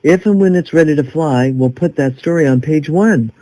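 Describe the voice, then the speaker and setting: A man playing a composed, pragmatic newspaper boss with a measured delivery in an audio drama. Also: on the high side, warm tone, lightly acted, low-quality recording. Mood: composed, dry.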